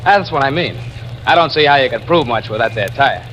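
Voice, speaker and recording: An actor playing a chatty service station attendant in a 1940s radio drama, with an American accent and folksy, emphatic talk. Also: male voice, mid-range voice, expressive, a little fast, fairly bright tone, close to an everyday voice. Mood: matter-of-fact, emphatic. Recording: slightly noisy vintage radio recording.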